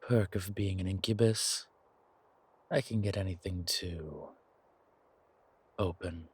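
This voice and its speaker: Man acting as suave male demon, very slow delivery, husky and breathy, wry, teasing, polished, intimate audio drama. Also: low voice, heavily acted. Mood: teasing, dry.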